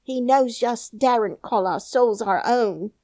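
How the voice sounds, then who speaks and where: A woman doing a shrill, frightened Yorkshire housemaid. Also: slow pace, no echo. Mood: alarmed. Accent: Yorkshire dialect. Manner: shrill, loud and agitated. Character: anxious young Yorkshire housemaid, excitable.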